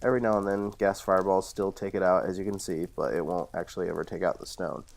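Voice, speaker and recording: Man, very low voice, gravelly fry, slightly congested from a cold, casual rambling walkthrough narration. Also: quick pace. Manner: relaxed, rambling, with frequent fillers and self-corrections. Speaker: channel host showing his Minecraft server builds.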